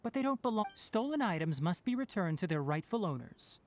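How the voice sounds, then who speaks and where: A man voicing a strict but kind young male forest watcher in a video game, speaking calmly and precisely, like someone giving a lecture. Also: sits high, brisk, heavy background noise. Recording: game audio with soft music.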